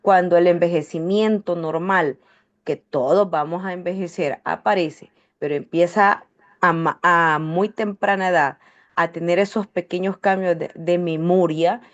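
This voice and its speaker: A woman's voice: fairly low pitch, fairly even intonation, measured pace, dull audio. A woman hosting a health testimonial session, talking in loose run-on phrases with frequent restarts. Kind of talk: warm conversational hosting.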